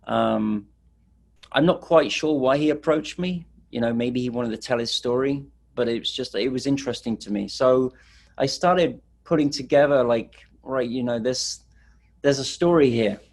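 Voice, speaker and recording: A man's voice: medium pitch, normal speed, gravelly, darkish tone. A middle-aged man from England, casually telling stories as a street photographer, rambling with fillers. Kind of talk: casual presentation.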